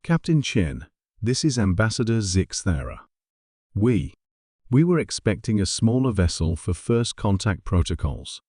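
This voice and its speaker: A man's voice: lowish voice, big pitch swings, a little slow, darkish tone, breathy edge, no echo, clean studio sound, full character voice. A man voicing a composed, cautious female alien ambassador with careful, formal diplomatic phrasing, in a story reading. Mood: hesitant.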